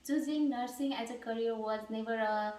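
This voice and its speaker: A woman in her thirties from Nepal, answering mock job interview questions earnestly, pausing with fillers and restarting phrases. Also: a little high, a little mellow. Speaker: job candidate. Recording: echoey room, distant mic.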